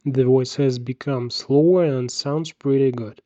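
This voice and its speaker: Male tutorial narrator, unhurried and soft-edged, measured instruction, dry and slightly dull recording. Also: medium pitch, fairly even intonation, dry recording. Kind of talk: scripted software tutorial narration.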